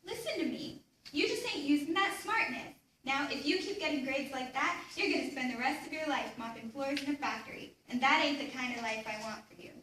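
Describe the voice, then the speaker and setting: A woman's voice: sits high, animated, brisk, sharp tone. A woman playing a firm, encouraging mother in a readers theater performance. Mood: urging, encouraging. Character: firm, encouraging mother urging her struggling son. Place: echoey room, recorded from a distance. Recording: very echoey room, distant mic, faint noise.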